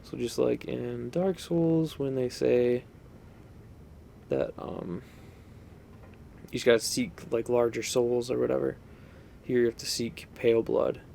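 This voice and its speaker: A man's voice: mid-range voice, fairly even intonation, unhurried, gravelly, pauses often, dark tone, a little hiss or hum. A man giving casual, rambling, unscripted commentary while playing a video game. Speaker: gaming video host.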